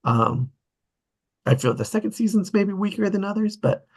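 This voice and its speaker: A man hosting a casual online group discussion, chatting informally with a slightly husky voice. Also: high-pitched, sing-song, moderate pace, darkish tone. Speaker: host of a table read discussion.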